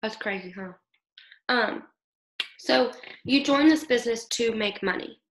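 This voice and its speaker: A young woman with a hoarse voice from illness, leading her team in an upbeat motivational talk over a video call, often checking in with "okay?". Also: on the high side, a little slow. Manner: upbeat, coaching, keeps asking "okay?". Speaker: team leader. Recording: echoey room.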